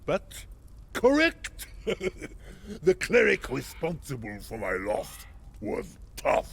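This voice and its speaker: An actor playing an eccentric, sly old ogre king in a video game, with a theatrical, halting, stammering delivery. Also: on the high side, huge pitch swings, exaggerated voice. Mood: sly, gloating. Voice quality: gravelly, creaky. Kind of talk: video game character acting.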